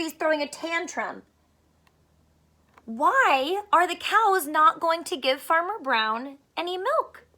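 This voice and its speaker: A woman's voice: high voice, pushed and bright. A woman teacher reading a picture book aloud to children, expressively, with simple explanations and questions.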